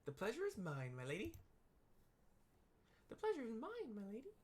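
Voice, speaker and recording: A man's voice: high-pitched, wildly swooping pitch, normal speed, darkish tone, pitched above the performer's own voice. A man voicing a small, sweet female assistant from a video game, chirpy and deferential, with an attempted British accent. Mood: eager, deferential. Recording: clearly audible background noise.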